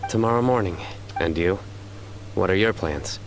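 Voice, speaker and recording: Low-voiced reserved gentleman. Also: normal speed, vocal fry, a little hiss or hum. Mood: calm. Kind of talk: anime video game voice acting.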